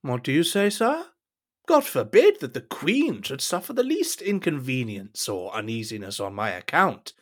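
An actor playing a scheming, falsely humble cardinal in an audio drama, with a theatrical, unctuous manner. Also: male voice, mid-range voice, sing-song, normal speed. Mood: feigned concern.

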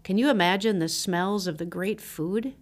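A woman pastor reads scripture and a reflection in a scripted devotional style, with measured, deliberate phrasing. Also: mid-range voice.

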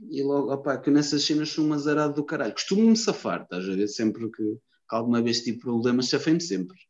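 A Lisbon-accented man in loose, rambling chat, heavy with slang and swearing. His voice sits at an ordinary pitch. The recording is dull and lo-fi. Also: a little fast, darkish tone. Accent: Lisbon Portuguese. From Lisbon, Portugal. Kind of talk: casual chat. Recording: dull lo-fi sound.

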